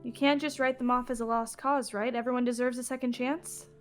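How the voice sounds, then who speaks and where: A woman's voice: fairly high pitch, steady tone, fairly quick, soft background music, lightly acted. A woman playing an outspoken, hopeful mage who defends dragons, in an improvised fantasy game roleplay. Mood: concerned, persuasive. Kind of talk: improvised Minecraft roleplay acting.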